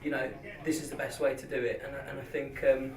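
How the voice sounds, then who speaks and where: A man's voice: mid-range voice, level tone, brisk, crowd noise. A man in a band, chatting casually and restarting his sentences as he thinks aloud. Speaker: band member. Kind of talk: casual group chat. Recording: echoey, distant.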